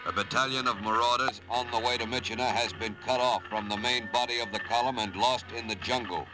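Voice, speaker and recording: A man plays a stern military dispatcher sending orders in an old radio drama. The mid-pitched voice is clipped and formal. Clear noise runs under the vintage recording. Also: fairly even intonation, fairly quick, close to an everyday voice. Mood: urgent, official. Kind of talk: 1950s radio drama acting.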